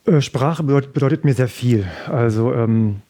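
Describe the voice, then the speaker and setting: A man, bilingual with Italian as his first language, answering questions about his debut novel in a measured way, pausing often with fillers. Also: medium pitch, warm tone. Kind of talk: thoughtful interview answers.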